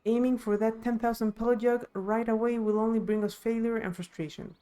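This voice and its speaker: A woman voicing a thoughtful, steadfast young villager in a fantasy game, measured and explanatory. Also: fairly high pitch, steady tone, dark tone, soft background music, close to an everyday voice. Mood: earnest, steady.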